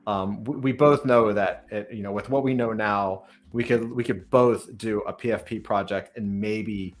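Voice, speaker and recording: A man's voice: on the low side, steady tone, normal speed. A man hosting a casual interview, talking in a rambling way as he thinks aloud and restarts his questions. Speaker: podcast host and startup founder interviewing a guest.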